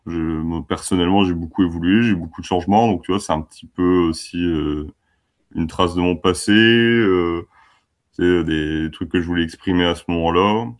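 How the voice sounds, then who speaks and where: A man's voice: low voice, normal speed. A man in his twenties from France, a band member chatting casually and laughing easily in an interview. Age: 20s. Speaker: band musician.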